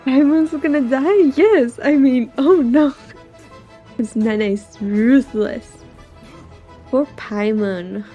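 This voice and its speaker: A soft-voiced woman livestreamer chatting casually and reacting, with a musing, hesitant, playful manner. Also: sits high, takes it slowly, muffled recording, faint music behind. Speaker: livestreamer, vtuber.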